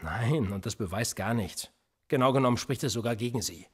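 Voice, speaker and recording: A man's voice: medium pitch, a little fast, breathy edge, close to an everyday voice. An actor playing a cool, cynical male police interrogator in a radio drama, smug and needling. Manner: smug, needling, lively intonation.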